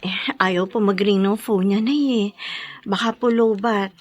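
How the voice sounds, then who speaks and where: A woman's voice: medium pitch, steady tone, unhurried, darkish tone, dull audio, natural voice. An actress playing an anxious, devoted young woman in a radio drama, pleading and emotional. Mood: earnest. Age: young adult. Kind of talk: Filipino radio drama acting.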